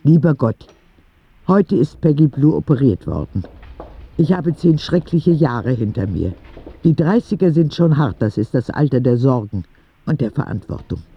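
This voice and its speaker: Candid sick boy, letter narration, gravelly, naively direct, dry dull recording. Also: medium pitch, very animated, normal speed. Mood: anxious. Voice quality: husky, gravelly. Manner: confiding, earnest, with naive directness. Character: ten-year-old sick boy writing candid letters to God. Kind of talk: intimate radio drama letter narration.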